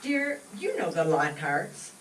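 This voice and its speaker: A woman playing a fussing, hospitable housewife and mother in an old-time radio comedy, expressive and homely. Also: low voice, takes it slowly, exaggerated voice. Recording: roomy, distant stage pickup with faint noise.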